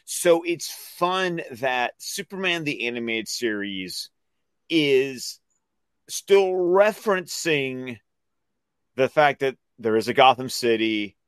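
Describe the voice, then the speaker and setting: Guy chatting as fan co-host, voice swoops around a lot, medium pitch, super dry sound. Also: expressive, slow pace, clean tone, no room sound. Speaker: podcast co-host. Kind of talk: casual fan commentary.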